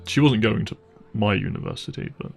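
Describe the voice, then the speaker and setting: A wealthy young boyfriend, played by a man, speaks softly and intimately in an audio roleplay. His voice is on the low side with a noticeable fry, and music plays faintly behind him. He comes across as caring, apologetic and a little hesitant. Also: a little fast. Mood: earnest, explaining. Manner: gentle, hesitant, apologetic, reassuring.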